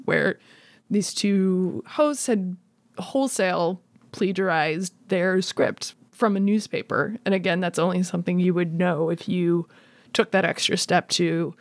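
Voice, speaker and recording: A woman's voice: on the low side, dry recording. A woman interviewing a guest in a conversational style, framing questions as she goes. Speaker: podcast interviewer.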